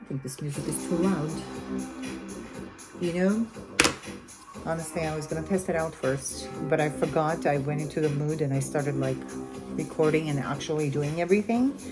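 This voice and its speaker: A woman's voice: deep voice, normal speed, pauses often. A woman from Armenia with an Armenian accent, chatting casually through a cooking vlog in her home kitchen, rambling with lots of fillers and self-corrections. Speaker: cooking vlogger. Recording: loud background music.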